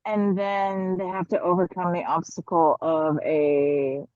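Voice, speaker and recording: A woman from the United States giving casual interview answers as a guest author, halting as she thinks aloud. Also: on the low side, flat delivery, no room sound, dull audio.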